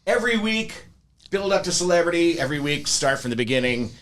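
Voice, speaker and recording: Lively, animated male podcast co-host. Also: a little high, quick pace. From New York area. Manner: animated storyteller, acts out crowd voices loudly. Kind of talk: casual chat.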